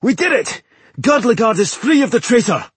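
A man voicing a boastful, hot-blooded dwarf warrior in a storybook, exclaiming forcefully in a husky voice. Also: a little high, big pitch swings, a little slow, no room sound, voice pushed up, heavily acted. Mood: triumphant, boastful.

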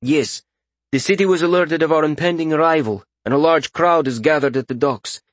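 A man doing a brisk, formal soldier. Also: a little high, dry recording, pitched above the performer's own voice, lightly acted. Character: dutiful soldier officer, formal.

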